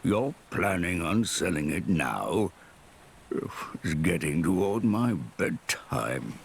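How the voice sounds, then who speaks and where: A man voicing a sleepy, grumpy elderly titan in a slow, grumbling way. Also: very animated, slight background noise, heavily acted. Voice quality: deep gravelly. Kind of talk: video game voice acting.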